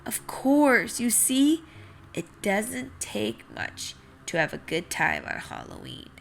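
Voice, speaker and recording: A woman's voice: a little high, very animated, takes it slowly, creaky, slight background noise, heavily acted. A woman voicing a kindly, polite pumpkin seed who gives earnest, reassuring advice in a children's storybook. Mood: warmly pleased. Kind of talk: children's picture book read-aloud.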